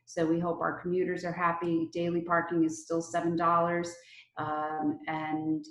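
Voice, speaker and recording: A woman's voice: lowish voice, completely flat delivery, normal speed. An American woman giving an informal report as a local commissioner at a public meeting held over video call. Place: indoor room, Zoom video meeting. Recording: roomy, echoey video call audio.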